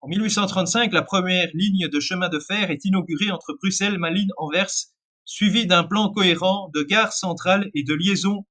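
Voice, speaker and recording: A man's voice: high-pitched, moderate pace, pushed and bright. A man reading an alternate-history narration, often stumbling and correcting himself. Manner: reads with frequent restarts and self-corrections.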